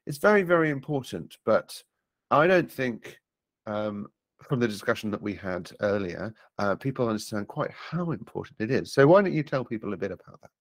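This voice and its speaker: A man hosts a podcast interview, friendly and upbeat, with a lively rise and fall in his voice. The recording is dry and close. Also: lowish voice, normal speed, no echo. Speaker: podcast host.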